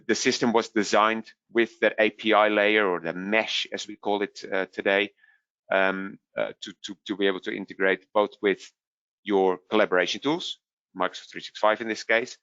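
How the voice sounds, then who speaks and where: Dutch-accented man, low voice, halting with fillers, dry muffled line. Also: a bit bright, clean tone, no room sound. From Netherlands. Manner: hesitant, many fillers and restarts. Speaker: vendor product specialist. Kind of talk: webinar Q&A answer.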